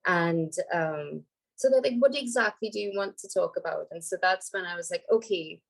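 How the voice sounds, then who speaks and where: A woman, hoarse from a cold, speaking on a video call as a panel speaker, thoughtful with many ums and restarts. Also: lowish voice, normal speed, fairly bright tone, poor audio. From Trinidad and Tobago. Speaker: panel speaker, environmental lawyer. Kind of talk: casual panel talk.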